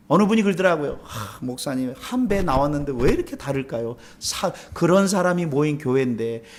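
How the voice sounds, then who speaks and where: A male pastor from South Korea delivers a sermon in a fairly high voice. He talks conversationally, asks the congregation questions, and repeats key phrases for emphasis. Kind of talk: sermon with rhetorical questions and anecdotes.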